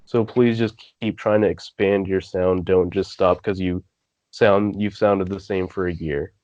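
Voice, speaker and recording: Teen man, guest vocalist, very deep voice, dull dry remote audio. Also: steady tone, measured pace, a little mellow, no room sound. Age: teens. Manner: earnest, rambling. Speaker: guest musician. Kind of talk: casual interview.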